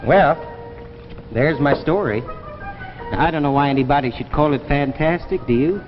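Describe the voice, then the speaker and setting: An actor playing a meek but giddy, earnest time traveller in a 1950s radio drama, with a folksy, emotive delivery. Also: male voice, on the high side, moderate pace, lightly acted. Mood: wistful, folksy. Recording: dull vintage radio recording with music.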